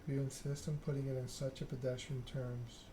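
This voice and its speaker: Condescending vampire patroness, read by a man, formal game dialogue, even delivery, noisy background. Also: medium pitch, brisk, natural voice. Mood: cool, formal. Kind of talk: ASMR reading of game dialogue.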